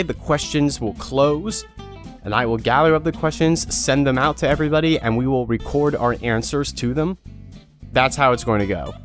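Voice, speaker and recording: A man's voice: mid-range voice, fairly bright tone. A man speaking informally and directly to viewers as an online creator, explaining guidelines in a casual video announcement.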